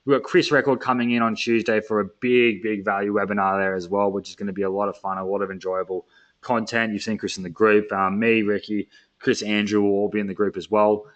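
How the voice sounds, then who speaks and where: A man's voice: fairly low pitch, little pitch movement, a little fast, a bit bright, lo-fi sound. Upbeat man hosting a webinar. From Australia. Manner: upbeat, filler-heavy, hype-driven. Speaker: webinar host. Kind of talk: casual sales webinar wrap-up.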